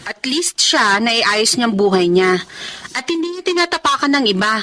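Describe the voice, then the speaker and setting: An actress with a Filipino accent playing a young, warm-hearted but indignant high school teacher in a radio drama, expressive and emotional. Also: female voice, normal speed, a little hiss or hum.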